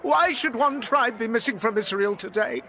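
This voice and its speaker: An actor playing an anguished ancient worshipper crying out to God, wailing and imploring in an audio Bible drama. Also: male voice, very high-pitched, lively intonation, normal speed, pushed and bright, a faint crowd, a little hiss or hum, heavily acted.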